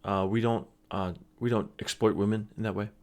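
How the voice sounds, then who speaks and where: A low-voiced man gives casual commentary on a clip. He speaks in a hesitant, measured way, often pausing to find his words. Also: flat delivery, a little mellow. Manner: hesitant, many fillers and restarts. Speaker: reaction video host.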